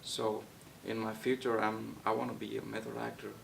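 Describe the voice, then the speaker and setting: Young man from Nepal, deep gravelly voice, flat delivery, halting with fillers, distant mic with faint rumble. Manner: halting, many fillers and restarts. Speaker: guest actor. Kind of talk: casual interview.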